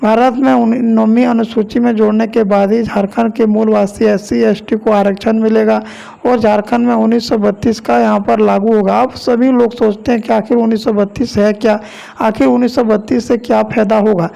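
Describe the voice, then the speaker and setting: Male news presenter, Jharkhand Hindi accent, very creaky high voice, flat formulaic delivery. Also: normal speed. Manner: repetitive, formulaic delivery with stock phrases. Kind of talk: online news voice-over.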